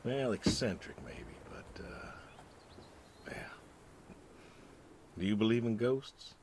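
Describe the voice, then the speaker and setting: A man's voice: mid-range voice, slow, whispered, natural voice. A man playing an aging, wistful, plainspoken pig farmer, terse and folksy in naturalistic video game dialogue. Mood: thoughtful, earnest.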